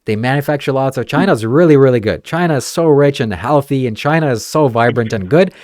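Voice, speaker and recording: A man's voice: mid-range voice, lively intonation, fast talker, a little mellow, no room sound, studio quality. A young man from Ukraine chatting casually on a podcast, given to sarcastic jokes and asides. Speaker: podcast host.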